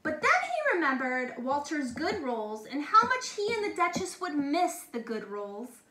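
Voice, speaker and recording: A woman's voice: high voice, wildly swooping pitch, unhurried, bright, forceful tone. A woman teacher narrating a children's storybook expressively and emphatically, speaking directly to young children. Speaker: teacher reading aloud to children. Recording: echoey room with faint noise.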